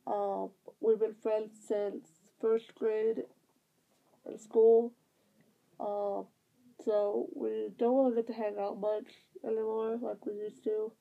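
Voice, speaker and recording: A woman's voice: medium pitch, vocal fry. A young woman with a stuffy nose, rambling casually through a vlog update while lying on her bed. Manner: rambling with many fillers and restarts. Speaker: vlogger. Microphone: device camera at close range. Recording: tinny phone-like sound.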